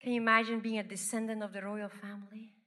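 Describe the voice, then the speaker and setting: A woman from Belgium preaching a sermon, repeating phrases and swinging from loud declarations to hushed whispers. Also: mid-range voice, level tone. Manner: slow, deliberate delivery with repeated phrases.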